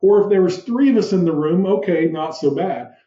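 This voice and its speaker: A man, a podcast guest and business founder, explaining ideas in an animated way with rhetorical questions and a big, commanding voice. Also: high-pitched, a little fast, echoey room, highs cut off. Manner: animated, uses vivid room analogies and rhetorical questions; describes his own voice as big and commanding. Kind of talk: explanatory interview talk.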